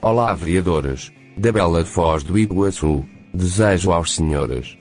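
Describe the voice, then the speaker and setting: A man's voice: low voice, lively intonation, unhurried, darkish tone, faint music behind. A man voicing a stiff, literal-minded male robot that recites rhymes in a radio comedy sketch, answering in short, humorless lines. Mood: reciting. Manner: curt, humorless, literal replies.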